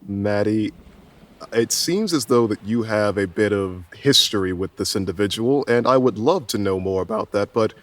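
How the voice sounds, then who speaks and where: An earnest, politely awkward male trainer in a tabletop game podcast, played by a man. His voice is fairly low, and he talks gently with a hesitant, stammering manner. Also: moderate pace, lightly acted. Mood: concerned. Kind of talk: tabletop roleplay.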